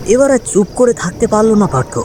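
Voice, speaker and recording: A man's voice: high-pitched, very animated, brisk, dark tone, slight background noise. A man narrating a scripted ghost story in a dramatic storytelling style.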